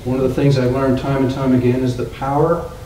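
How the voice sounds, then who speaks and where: A man's voice: medium pitch, flat delivery, fairly quick, warm tone, a little hiss or hum. Canadian man asking a pointed question. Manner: formal, pointed. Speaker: audience member asking a question. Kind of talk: public forum question. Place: community centre hall. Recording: echoey hall, distant mic.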